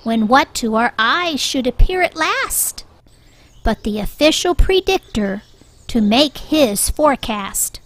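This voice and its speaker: A woman reading a children's story aloud in an expressive, rhyming sing-song way, with deliberate delivery. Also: high-pitched. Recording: music bed under the voice.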